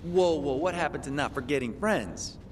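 Male actor as a cocky young survivor, streetwise slang, flippant, very animated delivery, video game cutscene, clear background noise. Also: on the high side, normal speed, natural voice. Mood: wry, urgent. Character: brash, streetwise young male hustler surviving a zombie outbreak.

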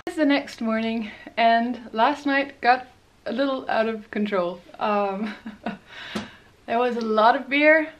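A woman's voice: fairly high pitch, slow pace. A woman, chatting casually to a handheld camera for a travel vlog. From Denmark. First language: Danish. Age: 20s. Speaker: travel vlogger. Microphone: handheld camera at arm's length.

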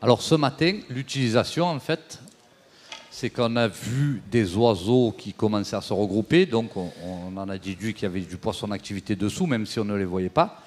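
A man in his forties giving an animated, explanatory talk on a trade show stage into a handheld mic, with many fillers. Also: on the low side, normal speed. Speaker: fishing tackle presenter. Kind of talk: casual live demo talk. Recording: long reverb of a hall.

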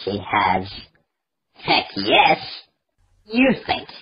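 A woman voicing a brash, excitable, opinionated cartoon character with exclamatory delivery. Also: extremely low pitch, very slow, highs cut off, exaggerated voice. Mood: brash, excited.

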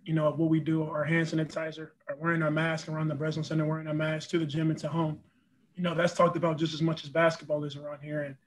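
A man's voice: a little high, slight background noise, low-quality recording. Earnest young man on a video call. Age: young adult. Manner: earnest, repeats words, many "you know" fillers. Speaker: college basketball player. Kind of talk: post-game press conference answers. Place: press backdrop room, indoors.

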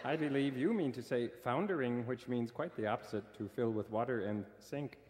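A man's voice: medium pitch, fairly quick, in a large hall, very noisy, lightly acted. A man playing a fussy, prim grammar stickler who lectures precisely in a radio comedy sketch. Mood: pedantic.

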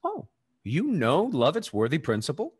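A man's voice: on the high side, wildly swooping pitch, normal speed, darkish tone, breathy edge, full character voice. A young man playing a suave, scheming rake in a period stage comedy, sly and theatrical. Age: young adult. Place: dark indoor room, video call.

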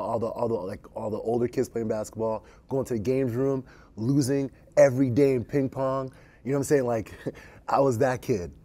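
A young man chats casually on a studio panel, full of energy and repeats. His tone is mellow and warm. He is on a lapel mic. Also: medium pitch. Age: young adult. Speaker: club alumnus and staff. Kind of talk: panel interview. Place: studio stage.

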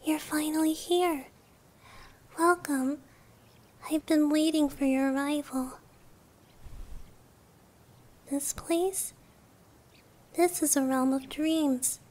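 Woman playing childlike guardian goddess of dreams for comfort ASMR: high-pitched, soft, unhurried, soothing. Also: fairly even intonation. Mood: welcoming, calm. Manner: hushed, reassuring, often near whisper. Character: gentle childlike female dream-guardian deity.

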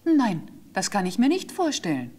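Lively, devoted little boy. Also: mid-range voice, moderate pace. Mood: earnest. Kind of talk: German fairy-tale radio play acting.

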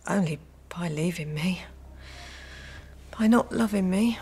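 Creaky, weary, heartbroken woman. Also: lowish voice, slow pace, darkish tone, exaggerated voice. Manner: subdued, weary. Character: weak, heartbroken injured woman. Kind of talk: radio drama acting.

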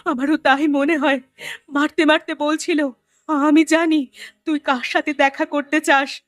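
A woman's voice: high voice, flat delivery, measured pace, a bit bright, no room sound, clean studio sound. A woman playing a distressed village wife in a horror cartoon, speaking melodramatically. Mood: anxious. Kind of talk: Bengali horror cartoon dialogue.

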